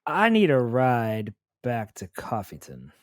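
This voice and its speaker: A man's voice: medium pitch, wildly swooping pitch, takes it slowly, dry recording, studio quality. A man voicing a courteous, folksy middle-aged radio DJ character, speaking hesitantly. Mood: polite, hesitant.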